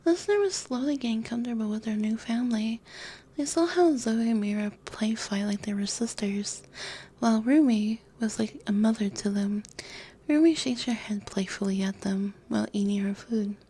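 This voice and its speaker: A woman gently narrating a scripted ASMR story, breathy and often whispered, close to a binaural microphone. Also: fairly high pitch, moderate pace. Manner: gentle, soft-spoken. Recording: faint background noise.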